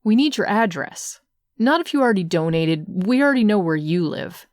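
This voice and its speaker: A sinister female voice from the dark performed by a woman speaks for a hungry cult in a horror podcast. It sits at a middle pitch, dry and close, with a halting, menacing delivery. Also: animated, dry recording, close to an everyday voice. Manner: sinister, halting and repeating words. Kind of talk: horror podcast scripted announcement.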